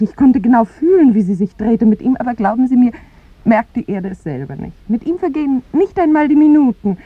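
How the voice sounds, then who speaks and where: A husky young woman pours out a melancholy love confession, intense and theatrical, dropping into breathy hush. The sound is a thin, phone-like old radio play recording. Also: medium pitch, dry recording. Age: young adult. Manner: theatrical, intense, breathy hushed phrase endings. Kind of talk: 1950s German radio drama acting.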